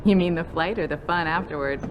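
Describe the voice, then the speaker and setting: Breezy, low-voiced female pilot played by a woman. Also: a little fast, lightly acted. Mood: breezy, teasing. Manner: casual, playful, upbeat. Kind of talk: dramatic video game dialogue. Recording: noisy vehicle ambience behind.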